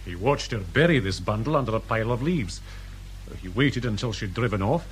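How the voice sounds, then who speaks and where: A man's voice: fairly low pitch, lively intonation, brisk, a little hiss or hum, lightly acted, rough recording. A man playing a dutiful, polite British police sergeant in a radio crime drama, deferential and matter-of-fact.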